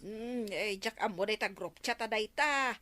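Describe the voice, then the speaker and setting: A woman's voice: medium pitch, measured pace, sharp tone. A woman acting a nosy, stubborn village mother in an Ilocano comedy drama, with a Filipino Ilocano accent and grumbling manner.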